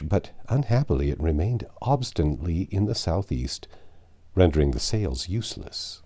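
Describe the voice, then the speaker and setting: A man reading a classic adventure novel aloud as an expressive narrator. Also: low voice. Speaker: audiobook narrator.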